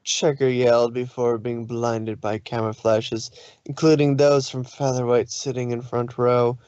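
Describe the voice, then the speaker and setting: A man reading fan fiction aloud as an expressive story narrator. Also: mid-range voice, unhurried, smooth, no room sound, dull audio.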